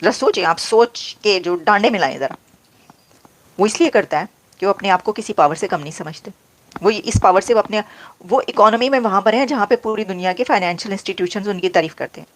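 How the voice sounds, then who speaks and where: A woman's voice: mid-range voice, a little fast, slight background noise. A woman with a Pakistani accent giving emphatic, unscripted political commentary, mixing in English phrases.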